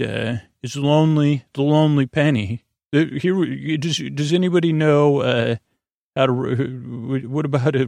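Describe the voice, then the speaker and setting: A creaky-voiced American man delivers a soothing bedtime monologue, close and dry. His voice is mid-pitched and gravelly, and he wanders haltingly through silly tangents, circling back over his own words. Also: darkish tone, no echo. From United States. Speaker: host of a bedtime podcast.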